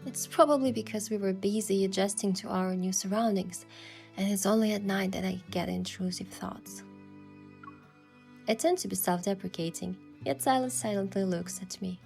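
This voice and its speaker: A woman's voice: medium pitch, moderate pace, vocal fry, darkish tone, close to an everyday voice. A woman playing a thoughtful, affectionate young heroine, narrating a romance game story in the first person with a reflective manner. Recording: music behind the voice.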